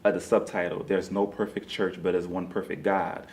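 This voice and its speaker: A man's voice: low voice, flat delivery, normal speed, darkish tone, a little hiss or hum. A man being interviewed as a playwright, talking casually and conversationally, often saying "you know.".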